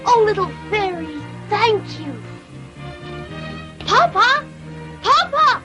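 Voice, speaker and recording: A woman's voice: very high voice, very animated, takes it slowly, pushed and bright, soft background music, full character voice. A woman playing an earnest, tender-hearted little boy puppet in an English cartoon dub, with childlike, emotive delivery. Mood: amazed, joyful. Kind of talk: classic cartoon dub acting.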